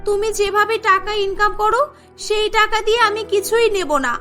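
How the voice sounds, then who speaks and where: A scolding young cartoon wife, played by a woman, with a very high, sharp voice. She nags and reproaches in a principled, fed-up way. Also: flat delivery, moderate pace, faint music behind. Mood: firm, reproachful. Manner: reproachful, nagging. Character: scolding young pregnant wife of a food seller. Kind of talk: Bengali cartoon voice acting.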